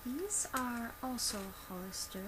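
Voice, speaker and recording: Quiet soft asmr girl in her 20s explaining stuff real slow, noisy webcam sound in a bedroom. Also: on the high side, sing-song. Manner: gentle, unhurried, instructional. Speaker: ASMR creator playing a customer service presenter. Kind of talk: soft-spoken ASMR product demonstration. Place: small bedroom, indoors. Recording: noisy amateur home webcam recording. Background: clear background noise.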